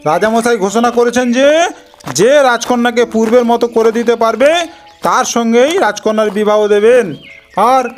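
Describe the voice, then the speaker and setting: Man doing a high, loud royal town crier. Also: steady tone, moderate pace, faint music behind. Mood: proclaiming. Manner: calling out, repetitive proclamation. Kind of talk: cartoon fairy-tale character voice.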